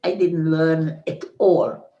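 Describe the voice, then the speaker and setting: A woman in her nineties with a Hungarian accent, giving slow, halting testimony as a survivor. She often restarts phrases and checks in with "Okay?". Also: sits low, steady tone, dark tone, muffled recording. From Hungarian-speaking Central Europe. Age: 90s. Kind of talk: slow personal recollection. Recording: roomy echo.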